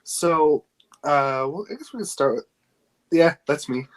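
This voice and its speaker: A man's voice: on the high side, lively intonation, unhurried. A man hosting a casual, joking group podcast, talking in a hesitant, rambling way. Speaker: podcast host.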